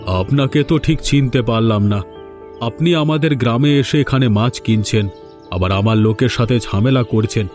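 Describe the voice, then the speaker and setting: A man's voice: mid-range voice, moderate pace, darkish tone, faint music behind, lightly acted. A man playing an arrogant village moneylender boss in a cartoon folk tale, domineering and threatening. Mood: menacing. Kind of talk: Bengali animated folk-tale dialogue.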